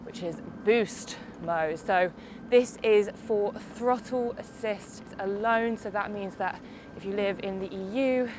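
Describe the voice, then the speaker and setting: A woman's voice: medium pitch, takes it slowly, a little mellow. Young woman, stuffy-nosed from a cold, cheerful reviewer talking mid-ride, faint traffic outside. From United Kingdom. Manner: chatty, upbeat, explains as she rides. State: congested from a summer cold. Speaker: e-bike reviewer. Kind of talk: casual video review while cycling. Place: outdoors on a bike on roads and hills.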